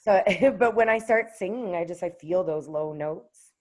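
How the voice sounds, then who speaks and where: Low-voiced woman chatting about her music. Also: moderate pace. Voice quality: low contralto voice. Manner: hesitant, with many fillers and restarts. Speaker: singer-songwriter guest. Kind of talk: casual interview chat.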